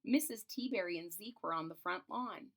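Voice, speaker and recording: A woman's voice: lowish voice, moderate pace, gravelly, fairly bright tone. A woman reading a children's storybook aloud expressively, drawing out phrases and adding explanatory asides about the pictures. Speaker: storybook reader and channel host.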